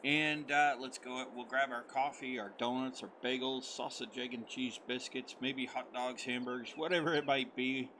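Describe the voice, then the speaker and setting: Hoarse guy from the us chatting loosely over a farming game, lots of ums, faint hiss and engine noise behind. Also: medium pitch, fairly even intonation, moderate pace, hardly any pauses. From United States. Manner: friendly, rambling, many fillers. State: hoarse, recovering voice. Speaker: gaming channel host. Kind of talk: casual let's-play commentary.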